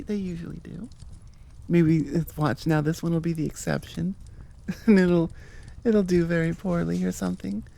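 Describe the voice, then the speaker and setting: A woman's voice: lowish voice, gravelly, dark tone. A woman chatting casually to her listeners as an audio roleplay creator, rambling in a jokey, self-deprecating way. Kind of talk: casual outro chat to listeners.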